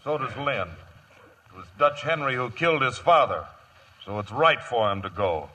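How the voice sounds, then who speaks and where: A loyal, plain-spoken cowboy with a drawl. His voice is husky and forceful, with lively swings in pitch. It is old-time radio acting. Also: moderate pace, low-quality recording. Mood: solemn. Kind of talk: 1950s radio drama acting.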